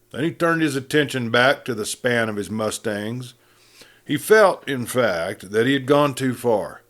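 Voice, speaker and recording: A man narrating a Western tale as a folksy, unhurried campfire storyteller and host. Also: medium pitch, fairly bright tone. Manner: folksy, unhurried asides to listeners.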